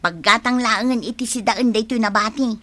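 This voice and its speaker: A woman playing a distraught, complaining young wife in a radio drama, with a shrill, tearful voice and a Filipino accent. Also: moderate pace, pushed and bright. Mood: nagging. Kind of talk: Ilocano radio drama acting.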